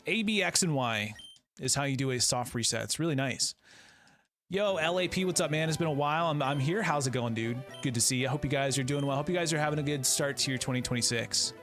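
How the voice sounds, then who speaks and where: A relaxed man in his thirties talks casually while playing on a live stream. His voice sits a little high, and he keeps up a chatty back-and-forth with his viewers over soft background music. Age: 30s. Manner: laid-back, chatty, greets and answers chat viewers. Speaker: game streamer.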